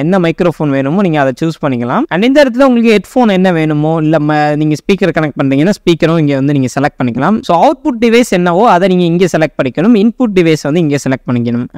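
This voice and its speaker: A young man with a Tamil accent narrating a software tutorial, addressing viewers directly and mixing in English terms. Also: sits high, smooth, dry recording, clean studio sound. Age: young adult. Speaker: tech tutorial presenter.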